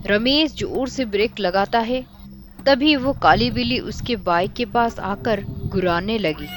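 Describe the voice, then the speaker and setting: Casting: female horror storyteller, fairly high pitch, unhurried delivery, clear music bed. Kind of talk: scripted Hindi horror story narration.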